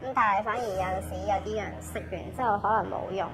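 Soft reflective woman. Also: medium pitch, fast talker, music in the background. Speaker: patient in a support program. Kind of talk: personal testimony.

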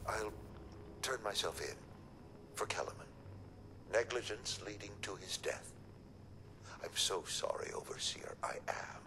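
An actor playing an anxious, unctuous robot doctor in a video game, speaking formally and hesitantly with theatrical delivery. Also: male voice, on the low side, close to an everyday voice. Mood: remorseful. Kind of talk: video game character acting. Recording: game audio with loud ambient noise.

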